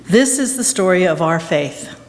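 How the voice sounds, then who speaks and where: A woman reading scripture aloud in a measured, deliberate way. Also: on the low side, expressive, slight background noise. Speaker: scripture reader. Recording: long echoing church-hall reverb.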